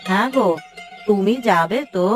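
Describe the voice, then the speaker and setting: A woman's voice: medium pitch, normal speed, natural voice. A woman voicing a homely village wife in a cartoon, eager and coaxing. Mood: eager, curious. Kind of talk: cartoon voice acting. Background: instrumental music.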